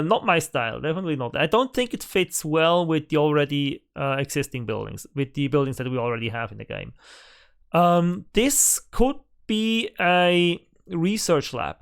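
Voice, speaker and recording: A man's voice: on the high side, a bit bright, clean tone. Hesitant, German-accented man thinking aloud. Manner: hesitant, thinking aloud with many fillers and restarts. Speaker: gaming channel host. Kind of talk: casual game news commentary. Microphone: desk microphone.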